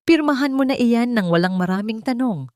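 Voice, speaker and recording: Woman performing elderly Filipino matriarch, shrill, spiteful, commanding, threatening, audiobook melodrama, dry sound. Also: lively intonation, fairly quick, a little mellow, dry recording, close to an everyday voice. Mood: stern command. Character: domineering, spiteful elderly mother-in-law.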